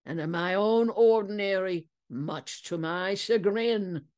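A woman's voice: mid-range voice, slow, darkish tone, clear, resonant tone, voice pushed up, natural voice. A woman voicing a proud, blustering male hotel keeper in a storybook, full of exclamations and colorful slang. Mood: offended, amused.

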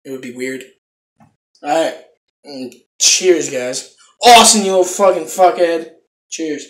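A man's voice: on the high side, takes it slowly, reverberant. A young man casually reviewing a product for his viewers from a small room at home, swearing often in a laid-back way. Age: young adult. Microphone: phone camera close up.

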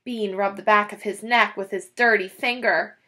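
A woman in her thirties reading a children's book aloud in an animated, dramatic way. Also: medium pitch, moderate pace. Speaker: children's story reader. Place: small indoor room, classroom-like decor.

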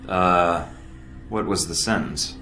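Deep-voiced young American agent recruit, cocky, flippant, video game scene, music underneath. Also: male voice, slow pace, pushed and bright, roomy sound, full character voice. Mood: smug. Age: young adult. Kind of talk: video game cutscene dialogue.

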